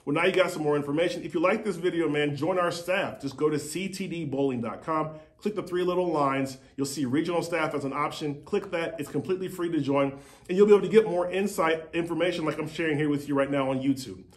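A man in his forties talks through bowling equipment in a relaxed, conversational way, handling props as he goes. His voice sits a little high for a man. He is recorded by a fixed camera at desk distance in a bright showroom. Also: fast talker. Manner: demonstrates with props, conversational, repeats points. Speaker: company CEO explaining bowling equipment. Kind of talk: casual explanatory demo to camera.

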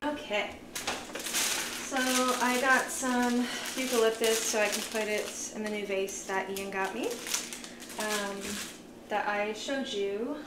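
A woman vlogger chatting casually through a grocery haul, relaxed and full of fillers, sounding tired. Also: medium pitch, slow. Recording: echoey room with noise.

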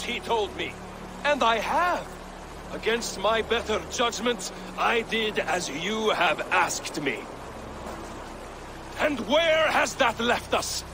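Defiant Viking warrior, high-pitched. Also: male voice, noisy background, heavily acted. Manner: declamatory, forceful. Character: defiant, aggrieved male Viking warrior challenging his leader. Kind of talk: dramatic video game cutscene acting.

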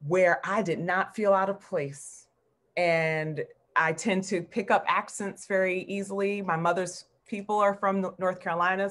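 An American woman in her forties giving an informal career talk as a professor, reflective and halting, with emphatic repetitions. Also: fairly low pitch. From Newark, New Jersey, United States. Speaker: art history professor, guest speaker. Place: indoor room at home, on a video call. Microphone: video call computer microphone.

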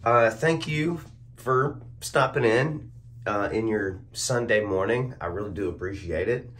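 A young man talks unhurriedly, his voice hoarse and half gone. He chuckles at his own jokes in a laid-back way. He is recorded close on a phone camera in a small room at home. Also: lowish voice, measured pace, fairly bright tone. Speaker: soap review vlogger. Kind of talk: casual product review. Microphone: phone or webcam at arm's length.

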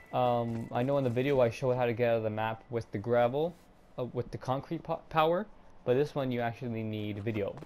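A young man narrating a gameplay walkthrough casually, hesitating a lot with ums and restarts, his throat a little raspy. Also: mid-range voice, a little mellow, a little hiss or hum. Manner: halting, many ums and restarts. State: slightly raspy throat, clearing it. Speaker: gaming YouTuber.

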